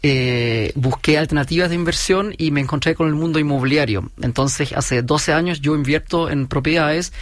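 A man's voice: a little high, moderate pace, few pauses, dry recording, clean studio sound. German-Chilean man explaining steadily. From Chile, raised in Germany. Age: 40s. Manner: steady, methodical, explains point by point. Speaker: company founder, guest. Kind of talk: explanatory business interview.

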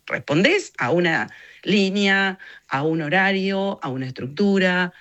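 A woman's voice: lowish voice, animated, a little slow, a bit bright, muffled recording. A woman in her fifties with an Argentine Rioplatense accent, answering interview questions reflectively in an explanatory, emphatic way. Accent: Argentine Rioplatense Spanish. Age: 50s. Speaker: interview guest, journalist and communications consultant.

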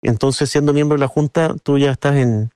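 A man's voice: medium pitch, steady tone, a little fast, darkish tone, clean tone, no echo, clean studio sound. A man from Chile with a Chilean accent, answering in an interview in an earnest, colloquial, anecdotal way. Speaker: interview guest, businessman and board member of a business council.

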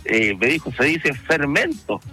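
A man with a Chilean accent, a truck driver telling his story in a relaxed interview, pausing often with fillers. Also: on the high side, husky, faint music behind. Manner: hesitant, many fillers, storytelling. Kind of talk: casual phone-in interview.

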